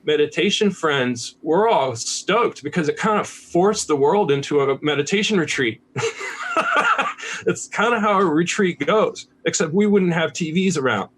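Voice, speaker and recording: A man's voice: on the high side, lively intonation, moderate pace, few pauses, a bit bright, slight background noise. An American man talking casually as a podcast guest, candid and laid-back, swearing freely. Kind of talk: casual conversational interview.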